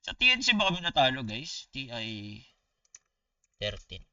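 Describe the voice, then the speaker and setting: Man, Filipino accent, bright forceful voice, slangy casual streamer, sweary storytelling, dull lo-fi sound. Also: mid-range voice, a little slow, no echo, recorded from a distance. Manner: animated, slangy, swearing, talking to viewers. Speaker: gaming streamer. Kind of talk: casual storytelling livestream chat.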